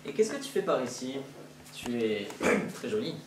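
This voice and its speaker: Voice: medium pitch, big pitch swings, normal speed, slight background noise, close to an everyday voice. A teen acting out a sly coaxing wolf on a school stage. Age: teenager. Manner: gentle coaxing, feigned sweetness. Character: smooth-talking male wolf, sly and hungry. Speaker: student actor. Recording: echoey hall from far off.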